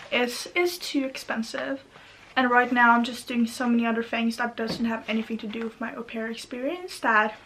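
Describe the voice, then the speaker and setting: A young Norwegian woman talks on and on in a loose, rambling way, stacking fillers and side thoughts. Her voice sits a little high and her accent colors her English. She speaks from her bedroom. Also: gravelly, bright, forceful tone, slight background noise, poor audio. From Norway. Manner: chatty, rambling, many fillers. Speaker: vlogger. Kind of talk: rambling casual monologue.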